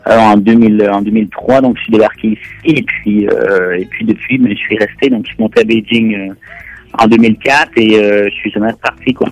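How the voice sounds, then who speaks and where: A man in his thirties from Montreal with a Québécois accent, answering interview questions in a halting way full of fillers and repeated words. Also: fairly low pitch, fairly even intonation, no room sound, soft background music, low-quality recording. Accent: Québécois French. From Quebec, Montreal. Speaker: guest, Canadian expatriate.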